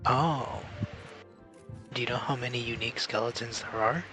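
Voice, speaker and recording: Male co-commentator, forward bright tone, casual and questioning with a hesitant delivery, light music underneath. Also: medium pitch, moderate pace. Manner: hesitant, inquisitive. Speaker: streamer's co-commentator. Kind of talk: casual chat. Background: video game music.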